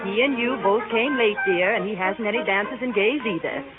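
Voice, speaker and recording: Loud music plays behind a tinny old radio recording of a woman as a matronly mother. She is kindly, brisk and gently managing, acted in 1930s radio drama style. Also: medium pitch, close to an everyday voice.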